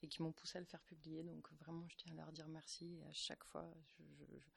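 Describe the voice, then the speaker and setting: A woman's voice: low voice, flat delivery, fast talker, gravelly, breathy edge, dry recording. Woman, author guest on radio, stumbles over words, very dry sound. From Toulouse, France. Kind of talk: radio interview.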